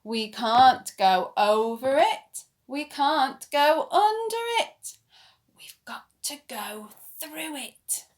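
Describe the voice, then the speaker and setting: High-voiced woman reading a children's story, unhurried. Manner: animated storytelling with dramatic whispers and gasps. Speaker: nursery story reader. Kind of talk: expressive read-aloud of a children's picture book.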